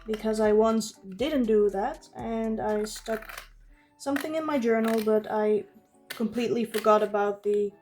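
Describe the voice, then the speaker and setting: Casting: woman, Dutch accent, lightly high-pitched and leisurely, casual chatty crafting narration over soft background music. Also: unhurried. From Netherlands. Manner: chatty, informal. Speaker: craft vlogger. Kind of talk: casual narration while journaling.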